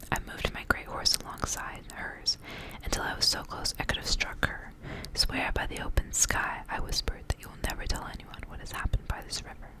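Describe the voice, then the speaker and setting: Whispering woman reading ASMR. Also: low-quality recording. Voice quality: soft breathy whisper. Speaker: ASMR host reading a storybook aloud. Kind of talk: whispered ASMR story reading with casual asides.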